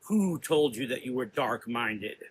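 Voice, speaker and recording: A man's voice: mid-range voice, lively intonation, measured pace, slight background noise, lightly acted. A man voicing a stern, defensive mother superior in a gothic audio drama, indignant and imperious. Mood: scornful.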